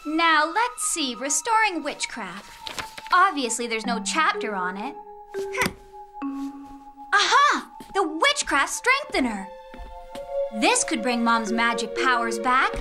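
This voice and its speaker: Cartoon casting: spirited little witch girl, piping high, expressive, over loud music. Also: slow pace, long pauses, full character voice. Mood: plotting, excited. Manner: expressive, emphatic. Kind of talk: animated cartoon voice acting, English dub. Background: loud cartoon score.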